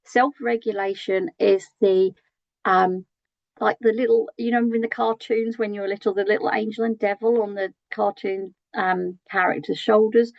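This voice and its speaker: A British woman with a croaky voice giving an early years training talk in a chatty way, with many tag questions and ums. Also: medium pitch, steady tone, normal speed, no echo, muffled recording. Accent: British English. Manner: conversational, asks tag questions, frequent ums.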